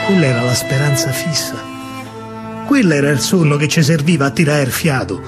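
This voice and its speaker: A man with a Roman accent performs a theatrical verse monologue as a soldier recalling wartime, in measured, weighted phrases. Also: medium pitch. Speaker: actor and storyteller. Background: music.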